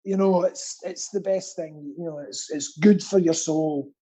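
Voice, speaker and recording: A man's voice: fairly high pitch, steady tone, a little slow, dark tone. A man chatting casually as a guest, rambling and earnest, with a self-deprecating streak. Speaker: guest, pantomime actor and theatre stagehand. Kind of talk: casual chat.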